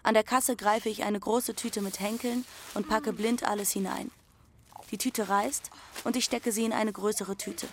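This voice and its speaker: A woman's voice: mid-range voice, fairly even intonation, normal speed, slight background noise, natural voice. Matter-of-fact teenage runaway girl, played by a woman, faint ambience. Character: restless, defiant teenage runaway girl narrating her journey. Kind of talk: first-person literary narration in audio drama.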